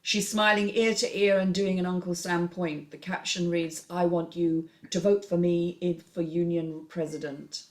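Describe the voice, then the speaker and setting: A woman reads a screenplay aloud as its narrator. Her voice is on the low side, and she delivers scene headings and action lines in a scripted storytelling style. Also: fairly even intonation, moderate pace, few pauses, a little mellow. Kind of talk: scripted narration, reading stage directions.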